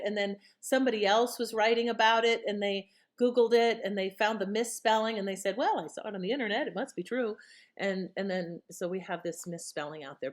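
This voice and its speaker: A woman host giving a casual, rambling introduction in a conversational way. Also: a little high. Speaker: host introducing old radio shows. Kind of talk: casual explanatory chat.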